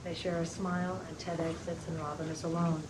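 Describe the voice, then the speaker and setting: A woman reading stage directions at a table read in a plain, measured way. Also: deep voice, flat delivery. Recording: distant mic, loud room noise.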